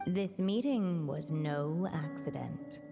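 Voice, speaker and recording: A woman playing a calm, elegant fairy-tale narrator in a video game, measured and poised. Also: low-pitched, faint music behind, exaggerated voice. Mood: calm, mysterious. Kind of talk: scripted storybook narration. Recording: long hall-like reverb.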